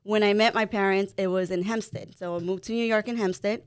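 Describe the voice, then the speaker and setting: Smooth-voiced woman, candid and chatty. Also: medium pitch, steady tone, brisk. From El Salvador. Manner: candid, a little nervous, laughs easily. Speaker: podcast co-host, guest. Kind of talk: casual interview chat.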